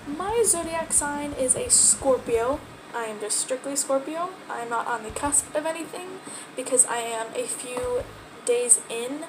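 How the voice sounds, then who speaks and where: A woman's voice: sits high, noisy background. A young woman from Texas with a light American accent, chatting casually and rambling to the camera in a get-to-know-me video, laughing often. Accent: American, mildly Texan. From Texas, USA. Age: young adult. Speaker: vlogger. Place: at home.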